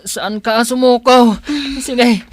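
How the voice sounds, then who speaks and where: A man's voice: extremely high pitch, steady tone, slow pace. A man with an Ilocano Filipino accent playing an earnest, devoted grown son in a radio drama. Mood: urgent, distressed.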